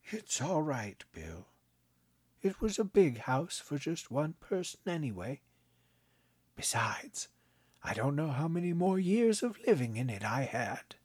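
A man doing a calm frail old grandfather. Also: on the high side, slightly rough, no room sound, pitched above the performer's own voice, exaggerated voice. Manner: slow, gentle, unbothered.

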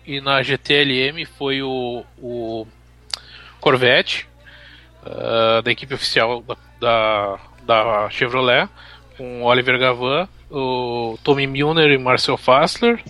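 A Brazilian man co-hosting a motorsport show, reading results haltingly and stumbling over names. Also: medium pitch, fairly even intonation, bright, forceful tone. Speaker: race show co-host. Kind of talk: casual podcast chat.

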